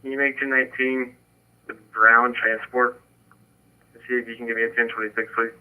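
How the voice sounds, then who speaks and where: A man's voice: medium pitch, little pitch movement, fairly quick, pushed and bright, smooth, poor audio. A man working as a police officer or dispatcher, trading radio traffic over a two-way scanner channel.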